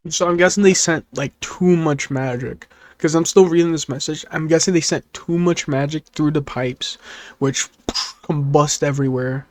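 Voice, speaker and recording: A man's voice: a little high, normal speed. A man chatting casually as a video host, giving reaction commentary and theories.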